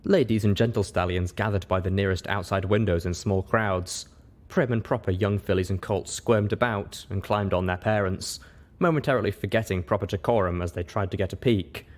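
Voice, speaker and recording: A man reading first-person story narration as an audiobook, in an expressive, dramatic way. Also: fairly low pitch, moderate pace. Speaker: audiobook reader.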